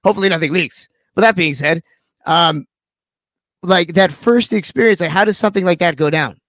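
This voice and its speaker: Male radio host, joking crude banter, a bit high-pitched, dry sound. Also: fairly quick, fairly bright tone, no echo. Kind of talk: casual interview chat.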